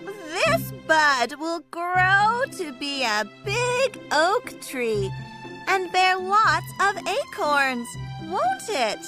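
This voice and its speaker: A woman's voice: extremely high pitch, big pitch swings, very slow, over music, heavily acted. A woman voicing a sweet, hopeful old woman in a children's fairytale cartoon, in a sing-song, childlike manner.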